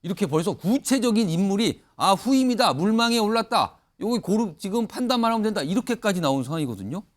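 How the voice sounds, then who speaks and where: A man hosting a news panel show in a lively, conversational anchoring style. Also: sits high, fast talker, hardly any pauses.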